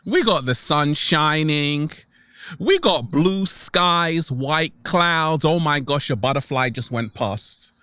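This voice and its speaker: Slow, animated upbeat man. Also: fairly high pitch, smooth, no room sound. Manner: theatrical, drawn-out words, playful repetition; upbeat, exuberant. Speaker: video host. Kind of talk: motivational vlog to camera, catchphrases. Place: outdoors in nature.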